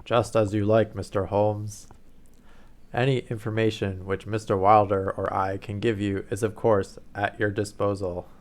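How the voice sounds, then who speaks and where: A man voicing a stately, red-bearded middle-aged duke in a loud, deep, booming voice. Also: little pitch movement, a little slow, close to an everyday voice. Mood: stiff, haughty.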